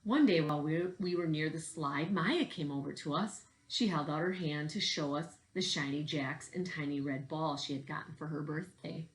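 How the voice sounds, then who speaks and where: A woman's voice: low voice, normal speed, hardly any pauses. A woman reading a storybook aloud in the manner of a teacher addressing children.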